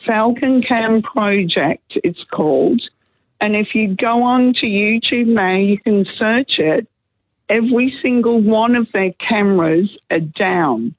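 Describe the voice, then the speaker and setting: A hoarse woman, calling in to a radio show, earnest and upset, rambling as she talks. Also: mid-range voice, slow pace. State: hoarse from a cold. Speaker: caller. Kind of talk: phone-in radio call. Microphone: telephone. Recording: tinny phone line.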